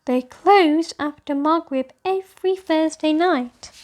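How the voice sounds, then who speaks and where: A woman's voice: sits high, slow, darkish tone, a little hiss or hum, pitched above the performer's own voice. A woman voicing polite, cheeky little girls in a children's storybook.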